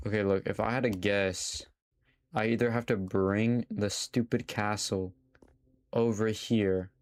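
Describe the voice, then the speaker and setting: A man's voice: lowish voice, fairly even intonation, measured pace. A young adult man chatting casually to his chat while playing a game live, swearing now and then. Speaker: streamer. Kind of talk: casual live gaming commentary.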